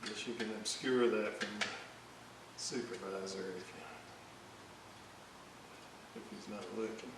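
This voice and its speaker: A man talks slowly and haltingly through a hands-on repair job. He sounds distant from the microphone in a very reverberant room, with a steady hiss under his voice. His commentary is casual, as if thinking aloud at the bench. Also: on the low side. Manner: hesitant, halting, thinking aloud while working. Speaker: electronics restorer narrating a repair video. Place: indoor room at a workbench. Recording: very echoey room, distant mic, clear hiss.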